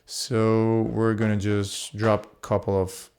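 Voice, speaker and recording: A man's voice: on the low side, monotone, slow, a little mellow, clear, resonant tone. A man talking casually through a sound design tutorial as he works, playful and informal, thinking aloud. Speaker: sound designer demonstrating a voice plugin.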